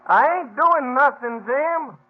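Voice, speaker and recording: A man's voice: very high-pitched, slow pace. An actor playing a leering, trigger-happy outlaw henchman in an old-time radio western, with folksy, taunting frontier talk. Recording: noisy, tinny 1950s radio recording.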